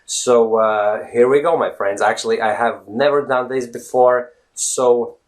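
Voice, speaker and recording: A man's voice: medium pitch, steady tone, unhurried. Latvian man teaching English on camera. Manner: earnest, many fillers and "right?". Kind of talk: casual video lesson. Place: indoors, virtual studio backdrop. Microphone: camera close-up, facing camera.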